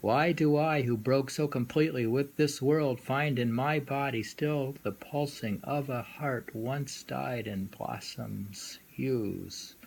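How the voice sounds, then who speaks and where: A man reading poems aloud informally and musing on them in a halting way, often repeating lines. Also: mid-range voice, gravelly, a little mellow. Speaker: poetry reader and commentator. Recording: noisy amateur recording.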